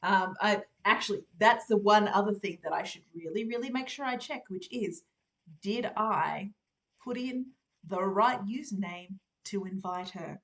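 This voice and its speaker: A woman's voice: medium pitch, moderate pace. Chatty Australian woman hosting a live stream. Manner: chatty, laughs often, many fillers. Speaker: host. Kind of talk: casual live-stream chat.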